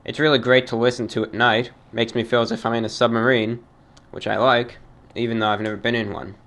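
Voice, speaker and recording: A man reads listener mail aloud in a formal, measured voice of ordinary pitch. He stops and restarts now and then, choosing his words with care. It comes across as an old-fashioned radio-style monologue. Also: flat delivery, fairly bright tone. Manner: formal, measured, halting delivery. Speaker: host reading fan mail.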